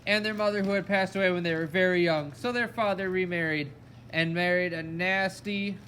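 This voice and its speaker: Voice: mid-range voice, fairly even intonation, moderate pace, fairly bright tone, a little hiss or hum. A narrator telling a fairy tale in a storytelling style for a children's puppet show.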